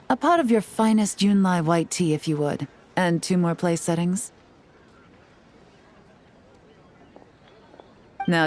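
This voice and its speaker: A woman's voice: fairly low pitch, lightly acted. An actress playing a cool, composed woman investigator in a video game, articulate, wry and sly in a businesslike way. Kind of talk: scripted video game dialogue.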